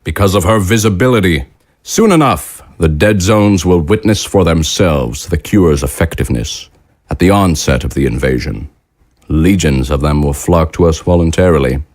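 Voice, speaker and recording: Man playing a very deep, cold, calculating leader. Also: dry recording, studio quality. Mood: coldly menacing. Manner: measured, formal, quietly menacing. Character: cold, calculating male leader of a wartime nation. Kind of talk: audio drama acting.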